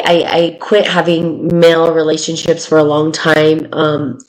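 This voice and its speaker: A woman podcast co-host in casual chat, loud and rambling, laughing often. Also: sits low, low-quality recording.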